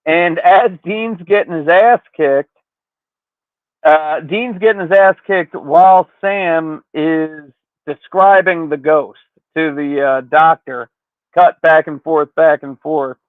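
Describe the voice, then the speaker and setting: A man's voice: on the high side, no room sound. A man hosting a podcast, casually recapping a story in slangy, profane language, with thin phone-like sound. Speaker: podcast host.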